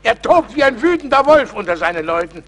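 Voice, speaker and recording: High-voiced, fussy psalm singer. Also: male voice, big pitch swings, brisk, a bit bright, heavily acted. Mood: agitated, pious. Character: fussy, pious, timid wandering psalm singer. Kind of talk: comic radio play acting.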